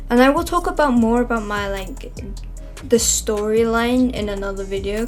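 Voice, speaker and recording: A young woman from Wales, chatting casually as a channel host, rambling with many fillers and self-corrections. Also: medium pitch. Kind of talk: casual chatty vlog narration.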